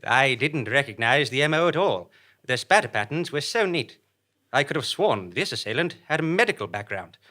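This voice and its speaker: A man's voice: medium pitch, sing-song, normal speed, pushed and bright. A man voicing an earnest, matter-of-fact forensic pathologist in a spoof advert testimonial.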